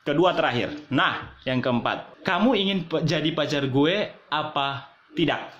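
A young man from Manado hosting a playful comedy vlog, talking in Jakarta slang with quick laughs and sing-song repeats, filmed on a phone at arm's length in a small bedroom. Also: fairly high pitch, animated, fairly bright tone, muffled recording, slight background noise. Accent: Manado-born, using Jakarta slang. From Manado, Indonesia. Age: young adult. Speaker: vlogger host. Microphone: phone camera at arm's length. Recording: echoey room phone recording.

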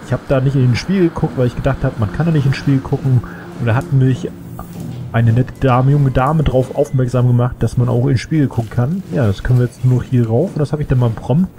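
A man's voice: mid-range voice, background noise. A man who has a cold, chatting casually and thinking aloud as he plays a game. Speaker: let's play gamer. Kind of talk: casual gameplay commentary.

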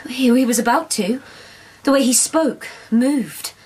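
An actress in a radio drama playing a frightened, sly feral young woman, with a breathy, husky voice. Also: high voice, a little slow, darkish tone, full character voice. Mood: panicked, pleading.

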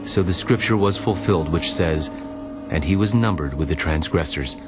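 Very deep-voiced male scripture narrator. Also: normal speed. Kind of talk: solemn dramatized scripture narration. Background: music.

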